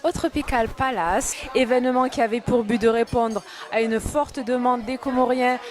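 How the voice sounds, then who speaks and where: A woman TV reporter narrating the close of an event report in a measured, clear delivery. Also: a little high, steady tone, soft background music. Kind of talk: news report narration and interviewing.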